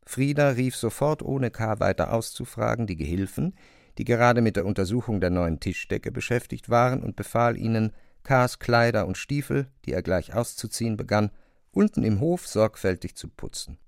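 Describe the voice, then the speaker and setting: Low, creaky, expressive male narrator. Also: normal speed, no room sound, studio quality. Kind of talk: literary narration in a radio drama.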